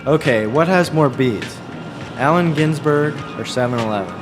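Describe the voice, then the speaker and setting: A man reading a scripted first-person story in a deadpan, terse way, as a wry, observant driver out with his girlfriend. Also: medium pitch, moderate pace, close to an everyday voice. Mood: wry, detached. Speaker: author reading excerpts from his own novel. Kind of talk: scripted first-person narration with dialogue over music. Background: music.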